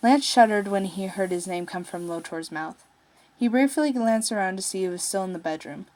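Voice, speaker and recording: A woman with a mid-pitched voice reads fiction aloud as the narrator. She follows a written story in prose. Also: normal speed, a little mellow, low-quality recording.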